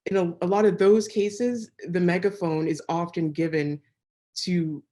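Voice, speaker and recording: A woman who works as a journalist, offering measured, thoughtful remarks as a panelist at a conference. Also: lowish voice, fairly even intonation, mellow.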